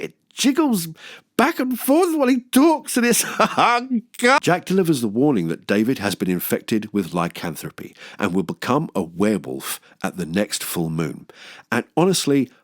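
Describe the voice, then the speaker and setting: A man's voice: lowish voice, dry recording, clean studio sound. A man hosting a film review, delivering scripted commentary with wry, enthusiastic jokey asides. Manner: enthusiastic, wry, breaks into chuckles.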